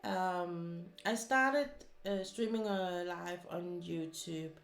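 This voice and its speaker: A woman's voice: on the low side, slow. Danish woman rambling in an echoey room. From Denmark. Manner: rambling, with many fillers and restarts. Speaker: streamer. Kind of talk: casual chat while crafting.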